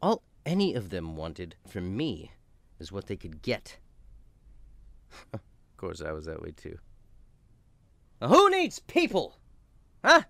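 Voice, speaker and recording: A man's voice: low-pitched, wildly swooping pitch, slow, vocal fry, pauses often, bright, forceful tone, no echo, full character voice. A man playing a sneering lonely rich man. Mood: bitter. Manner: sneering, bitter, theatrical. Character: arrogant, lonely, contemptuous wealthy man. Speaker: rich executive character. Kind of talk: radio drama acting.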